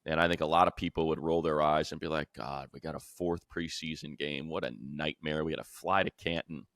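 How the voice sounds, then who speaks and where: Deep-voiced American man talking sports. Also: fairly even intonation, a little fast, fairly bright tone, dry recording. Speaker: sports radio play-by-play announcer and podcast co-host. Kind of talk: casual sports chat.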